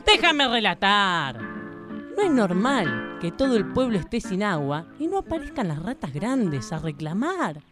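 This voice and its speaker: A woman's voice: mid-range voice, big pitch swings, unhurried. A woman playing a brash small-town amateur sleuth in a radio play, with a colloquial, exclamatory Argentine delivery. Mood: suspicious, determined. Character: brash, slangy small-town female amateur sleuth who narrates her adventures. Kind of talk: live radio play acting. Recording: music behind the voice.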